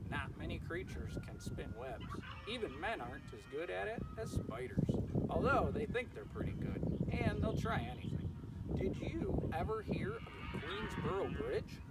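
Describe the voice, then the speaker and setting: A wise, practical female spider from a children's storybook, read by a man. She instructs frankly and matter-of-factly, with hugely varied pitch. The recording is very noisy, with farm animal sounds. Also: huge pitch swings, moderate pace, far from the mic, full character voice. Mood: frank, consoling.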